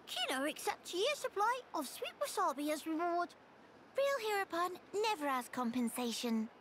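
Squeaky little game mascot critter, super high, bouncy pitch, cheeky and childish. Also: female voice, very animated, normal speed, noisy background. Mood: cheeky, boastful. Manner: childlike, broken third-person speech. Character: tiny cute round fluffy creature, cheeky and boastful. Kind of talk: video game cutscene acting.

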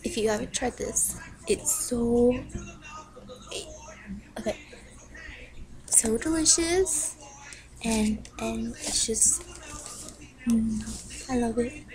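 A woman's voice: a little high, drawn-out words, pauses often, a crowd in the background. Hyper young woman rambling at a webcam. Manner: rambling and hyper, filler-heavy. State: voice low and rough from the cold. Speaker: vlogger. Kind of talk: casual webcam rant. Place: bedroom, indoors. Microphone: built-in webcam mic. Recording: noisy webcam recording with TV behind. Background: TV playing in the background.

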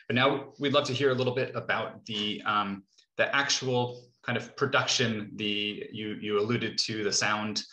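A man in his thirties moderating informally on a video call from a living room, speaking haltingly with many pauses. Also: fairly low pitch, pushed and bright, roomy sound, low-quality recording. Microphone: laptop mic on a video call.

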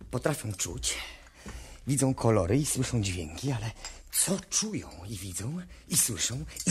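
Radio play youngster, male: inquisitive, plain-spoken, lively intonation, noisy old vinyl sound. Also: mid-range voice.

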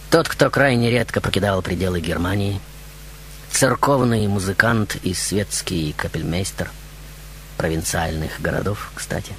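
A man's voice: low-pitched, a little slow, long pauses, a bit bright, a little hiss or hum. A man hosting a radio show in a theatrical monologue, with a grandiose, dramatic manner. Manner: grandiose, dramatic, with whispers and chuckles. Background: music behind the voice.

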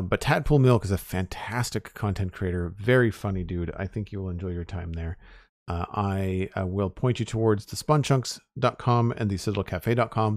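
Male streamer, low voice, slightly hoarse, relaxed chatty delivery, dry close sound. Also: no room sound. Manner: relaxed, chatty, talks to chat. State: losing his voice. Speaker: Minecraft streamer. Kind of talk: casual live-stream chat while building.

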